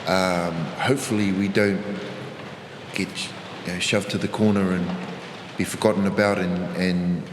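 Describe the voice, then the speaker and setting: A man's voice: low voice, fairly even intonation, unhurried, background noise. A man, talking hesitantly with many fillers in an interview. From New Zealand. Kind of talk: casual interview answer. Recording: roomy, reverberant, with music.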